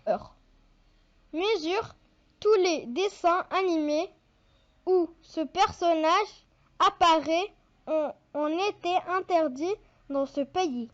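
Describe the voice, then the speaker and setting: Childlike video host, very high-pitched, informal countdown read in halting phrases with chummy asides to viewers. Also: a bit bright, lo-fi sound.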